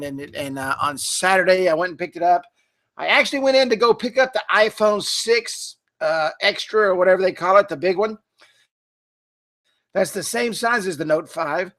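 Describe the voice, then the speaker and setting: Hoarse chatty man. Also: sits high, pushed and bright. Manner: rambling, chatty, many fillers and restarts. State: hoarse, losing his voice. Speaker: online business trainer. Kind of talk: casual live webinar talk.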